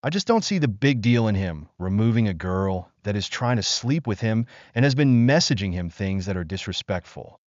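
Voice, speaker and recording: Low-voiced man reading a story aloud. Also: lively intonation, normal speed, hardly any pauses, darkish tone, dry recording. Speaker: narrator reading an online post. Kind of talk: scripted story narration. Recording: dry, slightly dull lo-fi sound.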